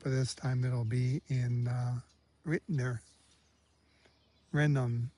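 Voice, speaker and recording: A man's voice: mid-range voice, level tone, takes it slowly, warm tone. A man vlogging his trip outdoors in a village, chatting casually and muttering asides, sometimes in a whisper. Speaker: travel vlogger. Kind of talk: casual walking vlog commentary. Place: outdoors in a village street and on a café terrace.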